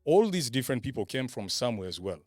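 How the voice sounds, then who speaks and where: A man's voice: mid-range voice, very animated, fast talker, a little mellow, no room sound. Man, emphatic, motivational, repeats key phrases, dry sound, faint music behind. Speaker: podcast panellist. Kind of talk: motivational casual talk.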